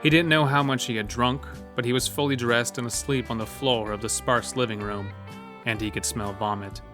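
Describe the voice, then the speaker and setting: A man's voice: mid-range voice, steady tone, normal speed. A man narrating a scripted horror story. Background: soft music bed.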